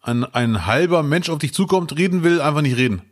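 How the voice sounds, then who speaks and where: A man co-hosting a podcast, joking and reacting quickly with exclamations in casual chat. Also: a little high, expressive. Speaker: podcast co-host.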